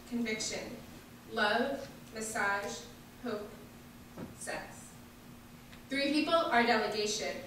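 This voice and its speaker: A woman reciting a poem in measured, deliberate short phrases in a large echoey hall. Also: fairly high pitch. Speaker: reader at a memorial staged reading. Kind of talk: poetry recitation. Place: large echoey indoor hall, distant microphone. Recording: echoey hall from far off, faint noise.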